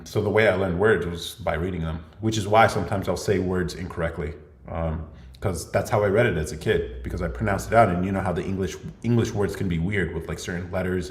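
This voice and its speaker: A young man hosting a livestream Q&A podcast, chatting casually and laughing often as he jokes. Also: deep voice. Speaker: podcast host. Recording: echoey room.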